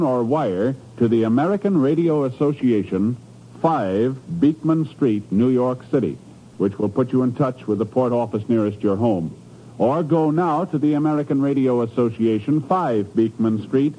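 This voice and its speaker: A man announcing in formal, emphatic scripted 1950s radio style. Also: mid-range voice. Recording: muffled old radio broadcast.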